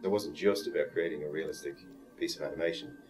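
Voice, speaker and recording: A man with a deep, low voice speaks in a behind-the-scenes interview, as someone who supervises animators. Music plays clearly behind him. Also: quick pace.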